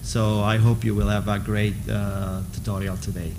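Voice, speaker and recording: Slow, flat-voiced man introducing himself. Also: on the low side, very noisy. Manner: unhurried, with fillers. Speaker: software developer, co-instructor. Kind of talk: introduction at a workshop. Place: conference room, seated at panel table. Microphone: table microphone.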